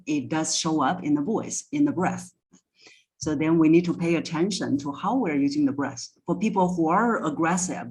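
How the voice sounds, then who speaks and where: A woman's voice: low voice, rough recording. A woman speaking as a voice coach in an interview, explaining things step by step with examples. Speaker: voice coach, podcast guest.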